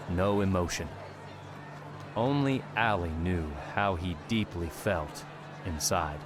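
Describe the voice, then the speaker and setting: A man narrating an audiobook in a measured, steady reading. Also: sits low. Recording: narration over background music. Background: music.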